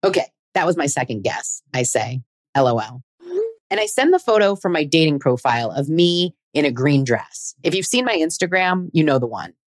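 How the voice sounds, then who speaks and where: Woman in her forties, podcast host, low voice, dry close sound, candid, self-deprecating, chatty narration. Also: animated, brisk, few pauses, no echo. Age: 40s. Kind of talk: scripted personal narration.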